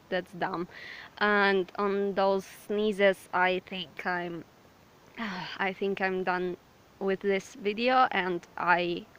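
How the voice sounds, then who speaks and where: Hoarse, apologetic Ukrainian young woman. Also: mid-range voice, pushed and bright, a little hiss or hum, rough recording. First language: Russian. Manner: hesitant, apologetic, lots of fillers. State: hoarse, sick. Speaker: vlogger. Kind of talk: casual rambling chat. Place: outdoors in a forest.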